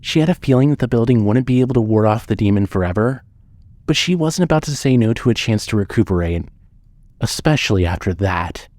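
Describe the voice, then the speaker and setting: A man narrating a scripted horror story in a dramatic, measured storytelling manner. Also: lowish voice, lively intonation, a little mellow, no echo.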